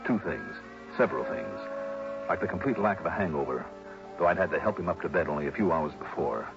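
An actor playing a shrewd, hard-boiled private investigator in mid-century radio drama, with a clipped, wry, probing way of talking. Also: male voice, low-pitched, lively intonation, a little fast, vocal fry. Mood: brooding narration. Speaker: star of the show. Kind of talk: 1950s-60s radio drama acting and first-person narration. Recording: dull vintage radio recording with music.

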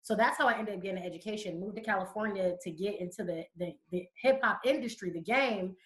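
A woman's voice: mid-range voice, fast. A woman from Connecticut, a youth educator, answering interview questions in fluent, conversational storytelling.

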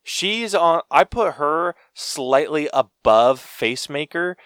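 A man co-hosts a podcast and talks through a movie in a relaxed way, with plenty of fillers. He speaks slowly in a smooth, clear voice. The recording is dry and close. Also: on the high side, no echo. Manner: relaxed, with frequent fillers and mild swearing. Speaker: podcast co-host. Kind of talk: casual movie review chat.

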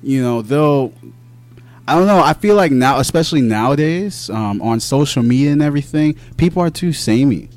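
A man's voice: mid-range voice, lively intonation, darkish tone, faint music behind. Laid-back man hosting a chat. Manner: laid-back, halting, many restarts and "basically". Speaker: podcast host. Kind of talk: casual interview chat.

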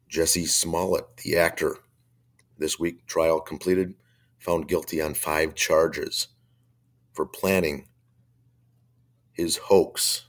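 A man hosting a faith-based talk radio show, hoarse from a chest cold, talking in a blunt, opinionated, conversational way. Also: very deep voice.

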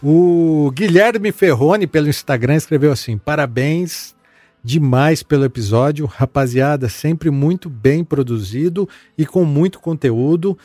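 A man hosting a casual podcast. He chats in a relaxed way and reads listener messages aloud, with many fillers and repeated words. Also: a little high, a little slow, no room sound, faint music behind. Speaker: podcast host.

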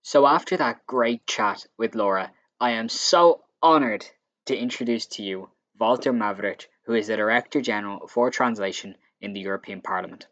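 A man's voice: fairly low pitch, moderate pace, a bit bright. A man hosting an interview podcast, asking questions politely. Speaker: podcast host. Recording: dull lo-fi audio.

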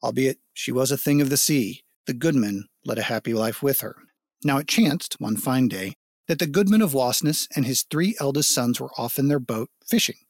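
Casting: male folk tale narrator, mid-range voice, expressive dramatic reading with explanatory asides, dry studio-like sound. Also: medium pitch, normal speed, no room sound. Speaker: storyteller.

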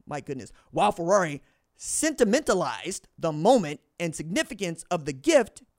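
A man's voice: fairly high pitch, sing-song, normal speed, dry recording. Dry-voiced male podcast host reading aloud. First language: English. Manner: stumbles and corrects himself mid-sentence. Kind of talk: reading a book aloud with personal commentary.

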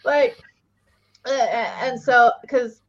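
A woman's voice: on the high side, fairly even intonation, very slow, lo-fi sound. A woman co-hosting a casual book club chat, rambling through thoughts with many fillers and frequent laughter.

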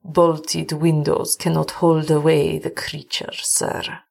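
Woman putting on Karel, a slow, grim old manservant. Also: low-pitched, monotone, creaky, a little mellow, heavily acted. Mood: grim, foreboding. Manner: slow, solemn, grave.

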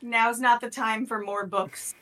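A woman's voice: fairly high pitch, steady tone, measured pace, pushed and bright, soft background music. A woman talking casually as a player in a tabletop role-playing game.

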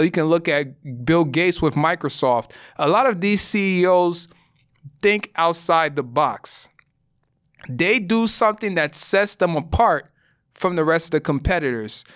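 A young man from Ghana hosts a solo podcast, laying out his ideas in an earnest, explanatory way. His voice sits a little high and sounds smooth and clear. He is recorded close and dry, with no room sound. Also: a bit bright, dry recording. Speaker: podcast host.

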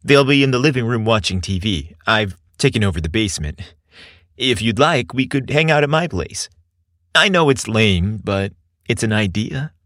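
Man voicing a friendly, casual young guy, lively intonation. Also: lowish voice, normal speed, dry recording, clean studio sound. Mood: tentative, inviting. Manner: casual, informal.

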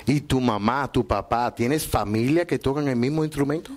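A man's voice: medium pitch, normal speed. A man hosting an interview, asking his guest questions with curiosity. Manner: curious, easily impressed. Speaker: interview host.

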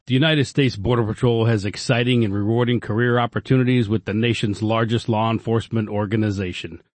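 A man's voice: lowish voice, fairly even intonation, brisk. A man narrating a modern scripted recruitment advertisement.

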